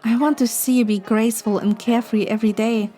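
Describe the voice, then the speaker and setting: A woman playing a sweet, affectionate young heroine, soft and earnest, in a scripted romantic audio drama. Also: a little high, steady tone, fast talker, lightly acted. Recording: music behind the voice.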